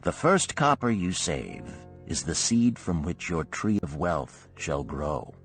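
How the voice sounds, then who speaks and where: A man's voice: low voice, sing-song, slow pace, gravelly, faint music behind, exaggerated voice. A man voicing a stern, blunt old moneylender mentor who lectures and scolds emphatically in a storybook parable. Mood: solemn, instructive. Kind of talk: audiobook parable character dialogue.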